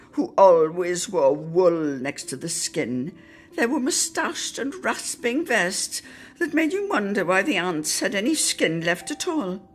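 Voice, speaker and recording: A woman giving a dramatic, theatrical reading of a poetic literary memoir, drawing out phrases for emphasis. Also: mid-range voice. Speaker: solo reader.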